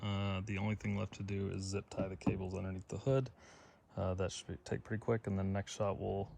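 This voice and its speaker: A man casually talking through a hands-on install, halting and thinking out loud as he works. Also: low voice, level tone, creaky. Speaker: DIY vehicle install presenter. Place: in and around a parked pickup truck. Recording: noisy vehicle sound with clicks.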